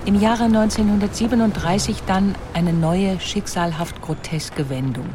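A woman reading a documentary narration in a clear, composed way. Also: medium pitch, normal speed, background noise. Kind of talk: scripted narration.

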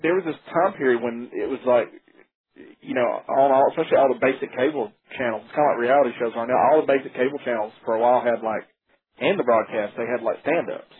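A man in his late thirties hosts a loose, joking conversation. His voice has a husky edge and sits in a mid range. The sound is narrow and tinny, as if heard through a phone. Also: medium pitch, quick pace. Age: 30s. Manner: relaxed, joking. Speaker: podcast host. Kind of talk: casual conversation.

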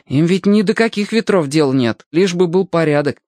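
Schoolboy for a storybook: polite, earnest, childlike, lifted pitch, dry studio closeness man acts him out. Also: expressive, normal speed, a little mellow, no room sound, close to an everyday voice. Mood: knowing. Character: polite, earnest young boy on a mission. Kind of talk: acted audiobook dialogue.

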